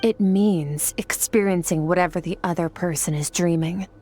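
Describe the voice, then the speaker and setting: A cool, detached woman mentor, voiced by an actress with a lowish voice, keeping every word curt and businesslike. She explains things calmly and without warmth, over faint game music. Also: moderate pace, close to an everyday voice. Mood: explaining. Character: aloof, cool-headed woman mentor from the Abyss. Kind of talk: video game voice acting.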